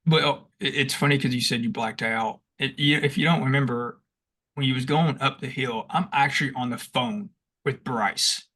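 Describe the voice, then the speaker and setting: A young man co-hosting a podcast, telling stories in a casual, animated way and acting out what he shouted. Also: medium pitch, moderate pace. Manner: animated, acts out past shouts; rambling with restarts and laughs. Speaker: podcast co-host. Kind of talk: casual storytelling chat.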